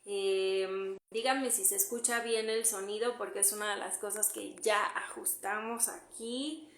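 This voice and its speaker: Mexican woman, live stream host, casual chatter, fillers and restarts, middle pitch. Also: fairly even intonation, measured pace, fairly bright tone, a little hiss or hum. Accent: Mexican Spanish. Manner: chatty, many fillers, restarts while waiting on guest.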